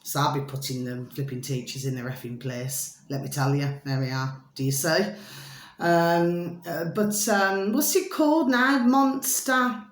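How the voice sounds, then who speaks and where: Middle-aged woman, low voice, rambling and digressive, roomy echo. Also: fairly even intonation, a little slow. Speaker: vlogger. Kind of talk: rambling casual monologue to viewers.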